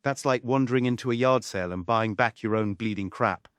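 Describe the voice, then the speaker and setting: A man's voice: mid-range voice, a little fast, smooth, no echo, lightly acted. A man playing a snarky, impatient, irreverent boss in a script read of a musical comedy play. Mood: sarcastic. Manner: sarcastic.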